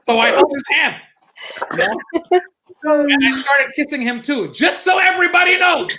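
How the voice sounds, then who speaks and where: A man's voice: very high-pitched, sharp tone, poor audio. A young adult man from the United States doing a stand-up comedy set over a live stream, telling a story and acting out the voices of the people in it. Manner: storytelling, acts out his mom's and friend's lines in quick back-and-forth.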